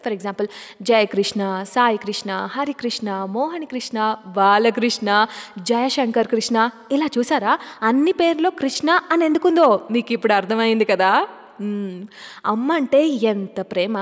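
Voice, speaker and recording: A woman's voice: fairly high pitch, moderate pace, big-room sound. A woman hosting a radio show as its jockey, chatty and often putting questions to her listeners.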